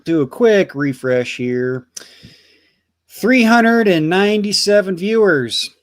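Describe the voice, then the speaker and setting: Lively-voiced man hosting a livestream. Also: high-pitched, takes it slowly. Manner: chatty, swears casually. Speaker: livestream host reading donations. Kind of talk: casual livestream chat.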